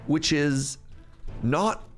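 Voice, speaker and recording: A man's voice: on the high side, drawn-out words, faint music behind. A man streaming a game, chatting casually and rambling between laughs, sounding sniffly and congested. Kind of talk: casual live-stream chat while gaming.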